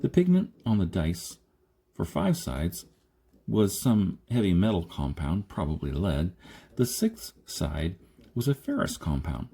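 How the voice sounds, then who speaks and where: A man's voice: low-pitched, very animated, unhurried, creaky, dark tone, breathy edge. A man reading a science fiction story aloud as scripted audiobook narration, now and then stumbling over a phrase. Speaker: audiobook reader. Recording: noticeable background noise.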